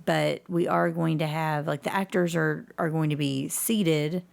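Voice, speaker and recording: A woman's voice: deep voice, steady tone, unhurried, creaky, darkish tone. A woman speaking as an enthusiastic guest in a casual interview about her own work. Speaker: podcast guest, community theatre actor and director.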